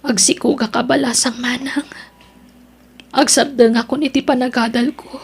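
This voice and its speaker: A woman acting a high-voiced, remorseful younger sister. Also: steady tone, normal speed, breathy edge. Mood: regretful. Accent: Ilocano. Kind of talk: Ilocano audio drama acting.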